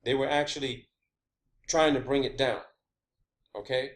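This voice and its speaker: A man's voice: medium pitch, measured pace. A man giving an informal, emphatic solo explanation, swearing freely and repeating phrases for stress. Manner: checks in often with "okay" and "all right". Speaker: video creator presenting own theories. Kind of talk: informal monologue rant and explanation.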